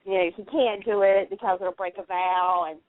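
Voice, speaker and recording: A woman's voice: medium pitch, steady tone, normal speed. A woman speaking in casual conversation on a talk show. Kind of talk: casual chat. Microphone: phone line. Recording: tinny phone-line sound.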